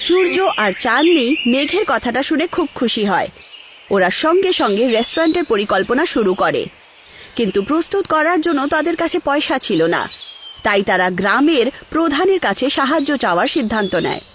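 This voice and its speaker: A woman's voice: high voice, sing-song, a little fast, fairly bright tone, a little hiss or hum. A woman narrating a scripted cartoon story as its storyteller. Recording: music behind the voice.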